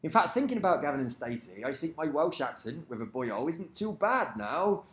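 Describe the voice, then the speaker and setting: A man's voice: fairly high pitch, big pitch swings, moderate pace, fairly bright tone. A man rambling casually to camera, swearing freely and losing track of names. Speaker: vlogger. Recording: echoey room.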